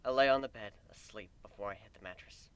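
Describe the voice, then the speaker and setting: A man's voice: lowish voice, fast talker, clean tone. A man reading first-person fan fiction aloud as dramatic scripted narration. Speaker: amateur story reader.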